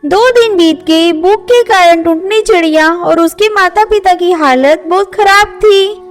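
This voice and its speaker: A woman's voice: very high-pitched, a little slow. A woman narrating a scripted children's story. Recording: faint music under voice. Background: faint music.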